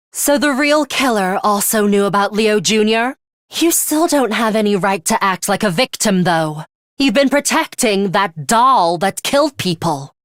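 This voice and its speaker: Stern, deliberate young woman fighter. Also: medium pitch, no room sound, clean studio sound. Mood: cold, accusing. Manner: blunt, measured, analytical. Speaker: video game voice actress. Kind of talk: dramatic English video game voice acting.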